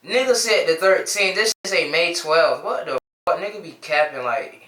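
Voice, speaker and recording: A man's voice: fairly high pitch, animated, moderate pace, roomy sound. A man in his twenties with a Midwestern American accent, chatting casually and joking with strangers on a video chat app. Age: 20s. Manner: slangy, joking, sings and shouts at times.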